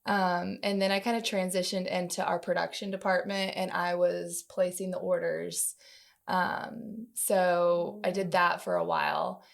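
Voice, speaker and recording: A woman's voice: fairly low pitch, level tone, unhurried, creaky. A woman answering questions conversationally as a podcast guest, in an informal, unpolished way. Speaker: podcast guest, marketing manager.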